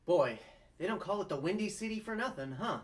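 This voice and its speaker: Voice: medium pitch, lively intonation, a little fast, natural voice. A young performer playing an earnest, easygoing young male lawyer in a sitcom table read, conversational and easily startled. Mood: bemused.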